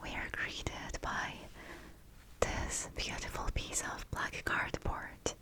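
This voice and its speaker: A woman whispering throughout in a breathy, unvoiced ASMR style, narrating an unboxing with deliberate phrasing. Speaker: ASMR video creator presenting a product unboxing.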